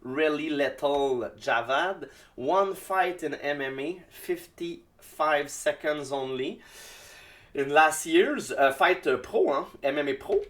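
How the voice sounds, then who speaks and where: A man with a Québécois French accent, a native French speaker, giving casual solo jiu-jitsu commentary in halting English full of ums and uhs as he searches for words. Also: fairly high pitch, expressive, a bit bright.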